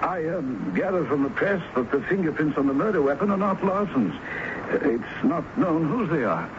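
A wry, talkative theatre producer in a vintage radio drama. His fairly high voice swings freely in pitch, with hesitant, dry asides. The old recording has a clear layer of noise. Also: sing-song, moderate pace, dull audio. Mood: measured, assured.

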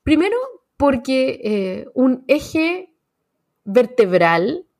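A Chilean woman in her forties with a Chilean accent, chatting casually as a panelist. Her voice sits a little high and has a gravelly fry. She talks in an animated, slangy way, full of fillers and restarts. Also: drawn-out words. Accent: Chilean Spanish. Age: 40s. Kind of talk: casual radio panel chat.

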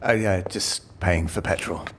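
A man's voice: sits low, steady tone, measured pace, a little hiss or hum. An actor playing a hesitant, doubtful man, the reluctant partner in a chase, stammering and incredulous in a comedy audio drama. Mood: flustered, awkward.

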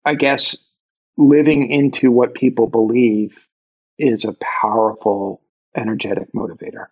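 A man with a Brooklyn accent speaks with a gravelly, husky voice at an ordinary pitch. He is a candid, reflective interview guest who pauses for emphasis. The recording is slightly dull and muffled. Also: steady tone. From Brooklyn, New York.

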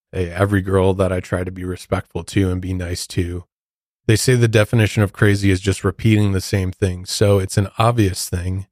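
A low-pitched American man hosts a true crime podcast. He moves between narration and conversational commentary with a disapproving edge. The recording is close and dry. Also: a little mellow, no room sound. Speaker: podcast host.

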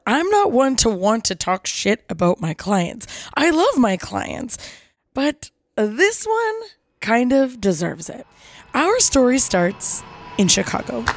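Podcast host: woman, raspy, higher-pitched, lively swings in delivery, playful dramatic storyteller. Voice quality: raspy from overuse. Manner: playful, dramatic, salty-tongued. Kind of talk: animated storytelling to listeners.

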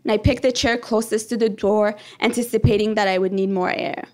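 A young woman from Iran, a PhD candidate, telling a personal story on stage in an earnest, measured and reflective way. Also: medium pitch, fairly even intonation.

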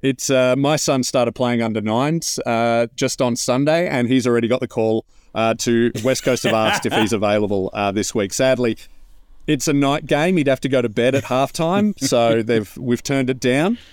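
A man's voice: medium pitch, normal speed, smooth, no room sound, studio quality. A middle-aged Australian man chatting casually and wryly about sport as a co-host, with a joking manner. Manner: wry, jokey.

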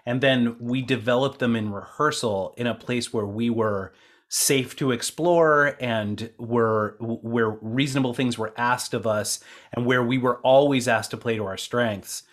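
A man's voice: medium pitch, steady tone, a little slow. A man hosting a casual round-table podcast conversation, speaking in an earnest, appreciative way. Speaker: podcast host and co-producer.

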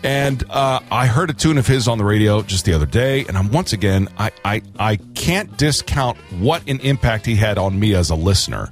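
Lively low-voiced male radio host. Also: normal speed, hardly any pauses, soft background music. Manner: lively, easygoing, chatty. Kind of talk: casual radio banter and game hosting.

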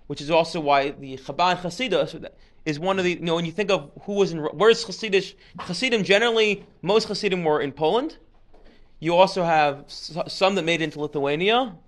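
A man's voice: on the high side, fairly bright tone. A man giving an informal history lecture, chatty and digressive, often restarting sentences and dropping joking asides.

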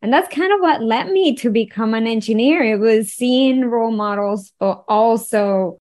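A middle-aged woman from Venezuela with a Venezuelan accent, a podcast guest telling her story in an animated, playful way with frequent laughs. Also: a little high, normal speed. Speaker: guest, wellness engineer. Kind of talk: conversational storytelling.

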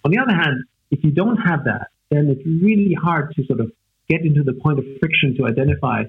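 A man's voice: on the high side, expressive, brisk, slight background noise. A man from Austria, a native German speaker in his forties, reflecting in conversation with many fillers and tag questions. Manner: hesitant, many fillers and "right?" tags. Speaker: guest. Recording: tinny phone-like line.

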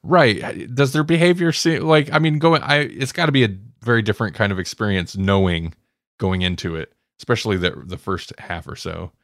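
Gravelly low-voiced man, casual podcast host. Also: normal speed, no room sound, clean studio sound. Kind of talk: casual review chat.

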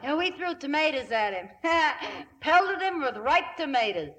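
Tomboyish young American woman, bright forceful voice, slangy, animated, vintage radio comedy. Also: high-pitched, measured pace, exaggerated voice. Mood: blunt. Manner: blunt, slangy. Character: blunt, wisecracking tomboyish young American heiress. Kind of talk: 1950s radio comedy acting. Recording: slightly noisy 1950s radio recording.

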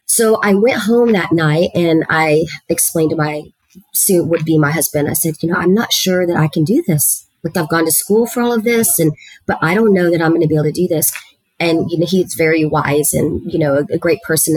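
A woman's voice: deep voice, brisk, darkish tone, slightly rough, no echo, rough recording. A middle-aged woman from South Texas, a guest on an interview podcast, telling her career story in an earnest, talkative way with frequent fillers. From South Texas, USA. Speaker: guest, student housing executive. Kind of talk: conversational storytelling.

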